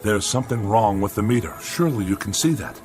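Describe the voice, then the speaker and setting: An actor playing a fussy, indignant middle-aged gentleman writer in radio drama style, with haughty, florid, formal diction. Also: lowish voice, lively intonation, quick pace, over music, lightly acted. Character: pompous, fussy, indignant older gentleman writer who hates machines. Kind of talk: classic radio drama acting.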